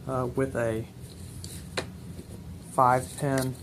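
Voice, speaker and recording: A man's voice: medium pitch, flat delivery, very slow, background noise. A man giving an unscripted, methodical technical walkthrough in a matter-of-fact, precise way, as a presenter demonstrating equipment.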